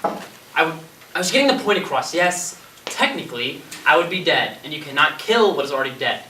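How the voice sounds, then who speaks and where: Male actor for exasperated, sarcastic zombie-play survivor; bright, lively voice, amateur stage, recorded at a distance. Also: on the high side, a little fast. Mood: earnest, exasperated. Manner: defensive, sarcastic, wordy when explaining. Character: Danny, exasperated sarcastic man fearing he is turning zombie. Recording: echoey stage recording from far off, noisy.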